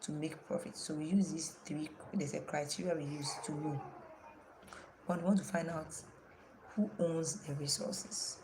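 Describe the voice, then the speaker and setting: A woman's voice: sits low, noisy background. A woman teaching an online class with a strained voice, asking her students questions and repeating the key terms.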